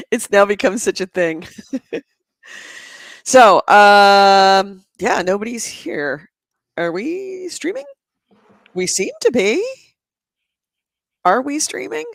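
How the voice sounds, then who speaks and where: Hoarse, gravelly-voiced woman podcaster. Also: medium pitch, very slow, long pauses, no room sound. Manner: chatty, laughs often, animated storytelling. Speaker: podcast host. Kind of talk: casual chat. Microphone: adjustable microphone close to the mouth.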